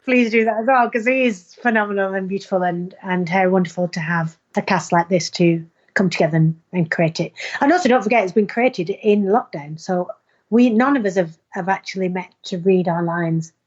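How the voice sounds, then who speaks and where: An actress talks in an informal interview, earnest and full of emphatic enthusiasm. Her voice sits at an average pitch for a woman. The recording is slightly dull and lo-fi. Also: moderate pace. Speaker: actor being interviewed. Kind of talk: informal interview, promoting an audio play.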